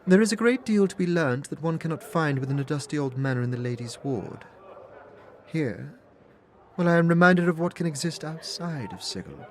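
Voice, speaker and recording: A gracious, genteel older noblewoman, as a man puts her on, her voice pitched high and sing-song. She speaks with formal, polite courtesy in a fan audio drama. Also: expressive, normal speed, lightly acted.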